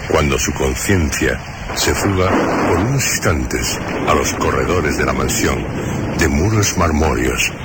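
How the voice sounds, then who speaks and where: A man presenting and narrating a radio drama in a solemn, measured, literary style. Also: very deep voice, background noise. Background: music.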